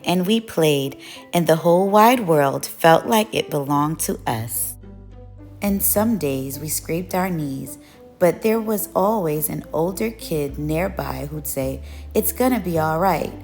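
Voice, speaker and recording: A woman reading a picture book aloud as a storyteller, with expressive, measured narration. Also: low-pitched, creaky. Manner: measured storytelling, acts out children's quoted calls.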